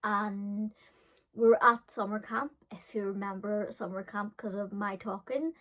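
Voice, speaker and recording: A woman's voice: medium pitch, fairly even intonation, measured pace, fairly bright tone. A woman in her early thirties telling a personal story casually to viewers, rambling and often correcting herself with lots of fillers. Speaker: beauty and storytime vlogger.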